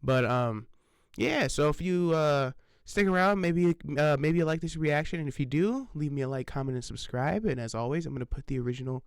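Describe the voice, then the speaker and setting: A man chatting loosely into an old desk microphone at home, his voice smooth and resonant. He rambles through fillers and restarts, curses offhandedly and chuckles at himself. The sound is close and dry, with no room around it. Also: fairly high pitch, a little fast, dry recording. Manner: rambling with many fillers and restarts, swears casually, laughs at himself. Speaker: reaction channel host. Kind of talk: casual rambling vlog chat. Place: home recording setup, sitting at desk. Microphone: old desk microphone, sitting close.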